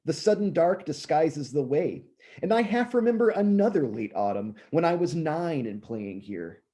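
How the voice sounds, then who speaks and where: A man in his thirties, slightly hoarse and often clearing his throat, reciting his own poems in a measured way and chatting loosely between them. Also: a little high, expressive, warm tone. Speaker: poet reading his own work. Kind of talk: poetry reading with casual asides.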